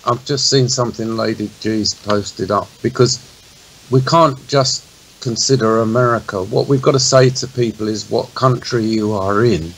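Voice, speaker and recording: A man's voice: lowish voice, fairly even intonation, normal speed, low-quality recording. Man on a slightly hissy line. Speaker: talk show panelist. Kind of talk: explanatory discussion. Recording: faint hiss.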